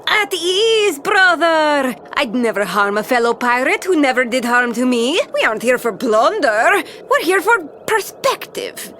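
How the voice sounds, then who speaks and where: A bold cartoon pirate captain, high-pitched and swinging widely from line to line. She is impatient, commanding and theatrical, rolling out seafaring slang over faint noise. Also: very animated, unhurried, hardly any pauses, a bit bright, full character voice. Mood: swaggering.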